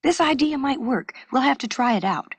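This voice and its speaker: Woman voicing an emphatic, excitable stubborn schoolboy. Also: high-pitched, normal speed, slightly rough, dry recording, pitched above the performer's own voice. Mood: eager. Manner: emphatic, exclaiming.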